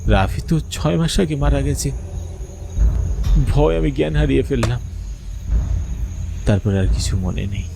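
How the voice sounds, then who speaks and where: A man's voice: mid-range voice, unhurried, gravelly, long pauses, background noise. A man playing a frightened young university student, narrating a horror cartoon in a suspenseful, dramatic first-person style. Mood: fearful, suspenseful. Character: frightened young male university student telling his ghost story.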